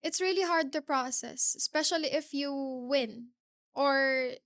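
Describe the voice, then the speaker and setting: Slow-spoken young Filipino woman, high voice. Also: fairly bright tone, no echo, studio quality. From Philippines. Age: teens. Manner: measured, careful answers with fillers. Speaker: guest, pageant winner. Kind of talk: podcast interview answers.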